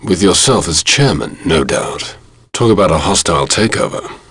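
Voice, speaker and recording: A man playing a suave secret agent in a video game, delivering dry, deadpan one-liners. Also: low voice, moderate pace, slight background noise. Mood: wry.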